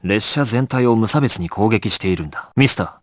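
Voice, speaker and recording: An actor playing a calm, commanding young gangster squad leader in an anime video game, grave and decisive, giving clipped orders. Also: male voice, lowish voice, fairly quick, dry recording, studio quality, close to an everyday voice.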